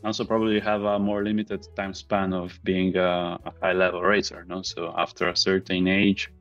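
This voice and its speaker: A man's voice: low-pitched, little pitch movement, moderate pace, a bit bright, faint music behind. A man, casually interviewing a guest on a video call. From Italy. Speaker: podcast host, interviewer. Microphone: earbuds on a video call. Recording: slightly dull video-call audio.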